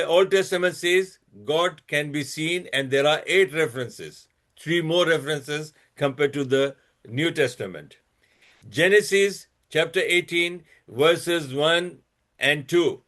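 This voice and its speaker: A man hosting his own channel, giving a lecture-style talk. He reads out a string of references slowly and deliberately, with many restarts and fillers, and turns blunt and scolding when he addresses critics. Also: on the high side, fairly bright tone. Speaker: channel host presenting a religious comparison.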